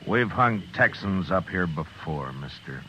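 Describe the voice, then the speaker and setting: A man's voice: sits low, lively intonation, a little slow, very noisy. A man with an American accent playing a tough, stern US Marshal in a 1950s radio western. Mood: firm, demanding. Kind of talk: 1950s radio western acting, first-person narration. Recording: dull vintage radio recording with music.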